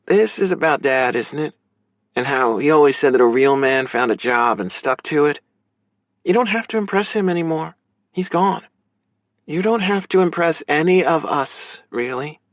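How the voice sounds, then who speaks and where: Audiobook big sister, played by a man: lifted pitch, frank, earnest, caring, emotionally open. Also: animated, moderate pace, no echo. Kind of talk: audiobook character dialogue.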